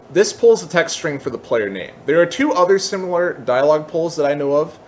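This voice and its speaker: Casual man explaining, some background noise. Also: medium pitch. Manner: casual, explanatory, thinking aloud. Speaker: tutorial presenter. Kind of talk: unscripted screen-recorded software tutorial.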